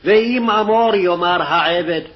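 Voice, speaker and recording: A man's voice: high voice, very fast. A man formally reciting scripture phrase by phrase, on a dull, lo-fi archival recording. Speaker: scripture reader. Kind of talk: scripted Hebrew Bible reading.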